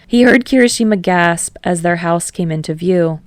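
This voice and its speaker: A woman's voice: fairly low pitch, moderate pace, no echo, clean studio sound. A woman reading fiction aloud as an audiobook narrator in a scripted storytelling style.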